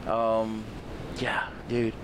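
A man hosting a podcast in loose, rambling chat, swearing freely and filling pauses with ums. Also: on the low side, monotone, background noise. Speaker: podcast host.

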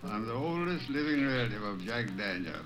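A man's voice: mid-range voice, expressive, brisk, music in the background. A man in his nineties with a Southern Tennessee accent, reminiscing about his own life in a plain oral-history style. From Tennessee, United States. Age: 90s. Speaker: retired small-town banker telling his life story.

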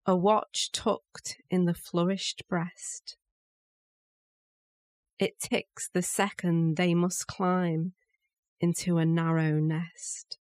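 A woman recites poetry in a slightly low voice. She goes very slowly, phrase by measured phrase, with pauses between them. The sound is dry, with little room around it. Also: flat delivery, darkish tone, no echo. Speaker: poetry reader.

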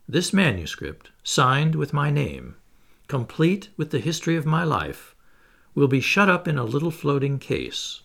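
A man voicing a stern, secretive submarine captain who speaks roughly and ironically. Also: on the high side, fairly bright tone, heavily acted.

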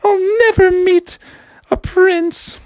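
A woman voicing a dramatic, tearful young princess in a breathy sing-song wail. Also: very high voice, level tone, slow, dry recording, studio quality, pitched above the performer's own voice. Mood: woeful. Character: weepy high-voiced young princess, dramatic.

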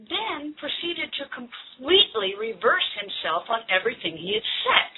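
A woman's voice: high-pitched, sing-song, moderate pace. A woman hosting an interview, asking direct, prompting questions. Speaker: radio interview host. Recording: tinny phone-line sound.

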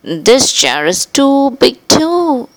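A woman's voice: fairly high pitch, sing-song, slow pace, a bit bright, slightly rough, voice pushed up, exaggerated voice. A woman voicing a curious, hungry little girl who talks in a sing-song, exclaiming way. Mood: complaining.

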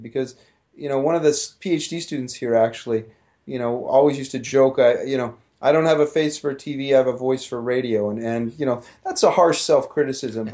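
A man's voice: medium pitch, a little mellow. A middle-aged man, a professor guest, explaining his research conversationally with frequent restarts and "you know".

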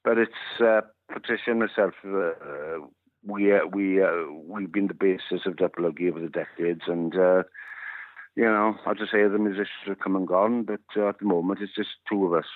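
Low-voiced Welsh man on a tinny phone line. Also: steady tone, no room sound. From West Wales. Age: 50s. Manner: unhurried, many you knows and uhs. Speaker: musician guest. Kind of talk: interview over a phone line.

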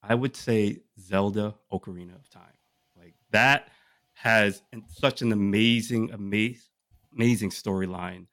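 A man's voice: on the low side, fairly even intonation, measured pace, a bit bright, no room sound. Man chatting casually in a dry, close recording. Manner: enthusiastic, uses rhetorical questions. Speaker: podcast co-host. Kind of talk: casual chat, pitching a list of picks to the group.

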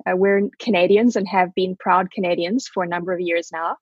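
Husky woman in her forties. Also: on the low side, fairly even intonation, fairly quick, no room sound, lo-fi sound. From South Africa. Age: 40s. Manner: gracious, reflective storytelling. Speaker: podcast guest. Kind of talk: conversational interview.

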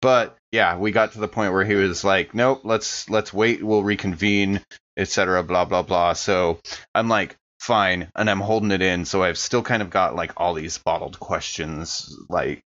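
Low-pitched man, slightly muffled. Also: fairly even intonation, normal speed, a bit bright. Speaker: player in a tabletop game. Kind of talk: casual improvised in-character narration.

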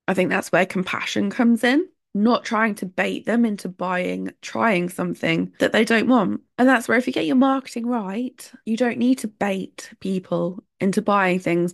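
A woman's voice: mid-range voice, dry recording, clean studio sound. A woman hosting a solo podcast, talking in a chatty, opinionated way. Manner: chatty, opinionated, laughs between points. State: sore throat. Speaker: podcast host.

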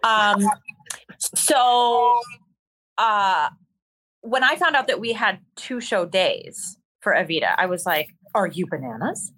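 Bright-voiced woman telling candid stories. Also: mid-range voice, expressive, slow pace, poor audio. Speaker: interview guest, musical theater performer. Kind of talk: candid conversational storytelling.

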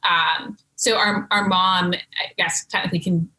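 A woman's voice: lowish voice, fairly even intonation, unhurried, sharp tone, rough recording. A young Canadian woman interviewed as a guest, answering in composed, articulate full sentences. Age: young adult. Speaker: guest, brand co-founder.

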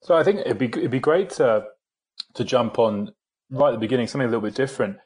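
A man hosts an interview podcast in a medium-pitched speaking voice. He asks polite, loosely phrased questions and pads them with "um" and restarts. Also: animated, fast, a little mellow, slightly rough. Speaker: podcast host.

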